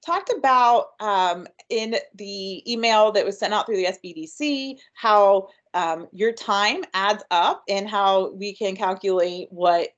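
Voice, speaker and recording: Chatty upbeat woman running a webinar, mid pitch, says um a lot. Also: slow, hardly any pauses, highs cut off. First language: English. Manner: chatty and upbeat, frequent ums. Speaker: workshop presenter. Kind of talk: webinar presentation.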